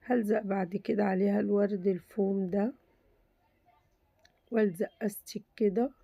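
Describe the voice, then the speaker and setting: A woman with an Egyptian Arabic accent talks her viewers through a simple craft project, her voice hoarse from a cold. She keeps a mellow, level tone and keeps repeating how easy it all is. She is recorded close in a dry room with no echo. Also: medium pitch, steady tone, normal speed. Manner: chatty, reassuring, repeats "very easy". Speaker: crafts channel host. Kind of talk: casual craft tutorial.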